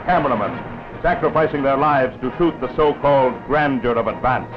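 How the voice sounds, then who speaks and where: A man's voice: medium pitch, fairly quick. A man narrating a 1940s wartime newsreel in a grave, oratorical, scripted style. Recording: dull lo-fi 1940s film soundtrack with music.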